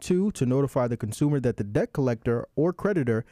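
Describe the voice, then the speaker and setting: A man hosts a relaxed chat with a mellow, dark voice. He talks in an easygoing way and sometimes reads text aloud. The sound is dry and close. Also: mid-range voice, fast talker, no room sound. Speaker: podcast host. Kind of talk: casual chat.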